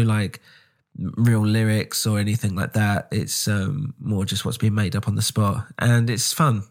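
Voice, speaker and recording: A man with a slightly low voice talks through a solo podcast update in a loose, rambling way. He sounds nasal from a cold, and he stumbles over restarts and fillers. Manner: rambling, frequent ums and restarts. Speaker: podcast host.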